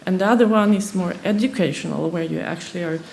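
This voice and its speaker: A woman's voice: on the low side, creaky, darkish tone, a little hiss or hum, poor audio. A woman from Sweden, speaking English as a second language, giving a conference presentation with many hesitations and restarts. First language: not English. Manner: halting, many fillers and restarts.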